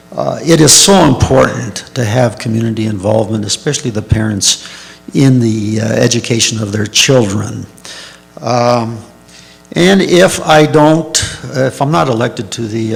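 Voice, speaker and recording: A man's voice: medium pitch, vocal fry, long reverb tail. A man school board candidate answering at a public forum, earnest and hesitant.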